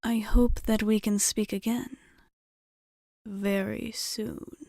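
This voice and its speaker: A woman plays a female vampire bartender in an ASMR roleplay, speaking slowly and softly, close and dry. She is guarded yet fond of humans, with formal, old-fashioned politeness and a tendency to slip into whispers. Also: mid-range voice, flat delivery, no room sound. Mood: fond, welcoming. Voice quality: soft, intimate, breaking into whispers.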